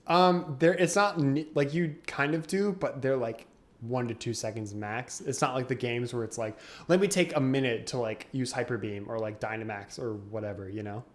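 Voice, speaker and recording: A young man co-hosting a casual gaming podcast, laid-back, with quick asides and frequent laughs. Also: mid-range voice. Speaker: podcast co-host. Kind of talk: casual chat.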